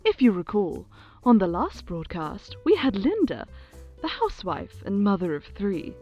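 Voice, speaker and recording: A woman's voice: mid-range voice, huge pitch swings, a little slow, a little mellow, lo-fi sound, soft background music. A woman playing a regal, self-adoring overlord who hosts her own broadcast in a theatrical, condescending, grandly gracious way in an audio drama. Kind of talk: audio drama acting as a radio show host.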